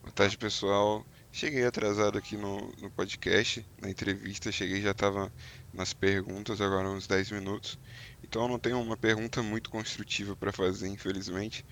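Guy rambling thru a voice memo, lowish voice, bit of noise behind. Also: flat delivery, moderate pace, fairly bright tone. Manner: rambling, hesitant. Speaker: listener sending a voice message. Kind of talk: casual recorded question.

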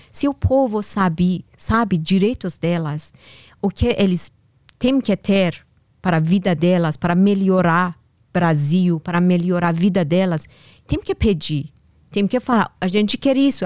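A woman from Iran with a strong Persian accent, a podcast guest answering questions haltingly in a language not her first. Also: mid-range voice, a little mellow, no room sound. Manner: halting, searching for words. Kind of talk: casual interview answers.

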